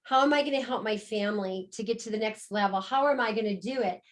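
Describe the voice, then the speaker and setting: A middle-aged American woman, the co-host, tells her own story on a video call in a warm, upbeat sales style. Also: mid-range voice, fast. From United States. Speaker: co-host and business presenter. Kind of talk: business pitch on a video call.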